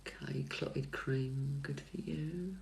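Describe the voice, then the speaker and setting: A middle-aged woman chats with viewers during a livestreamed tarot reading, her voice soft and calming. She speaks slowly, with a gravelly texture, pausing on fillers and restarting sentences as she answers comments. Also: a bass voice, a little hiss or hum. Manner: hesitant, many fillers and restarts. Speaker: psychic tarot reader. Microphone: phone livestream mic.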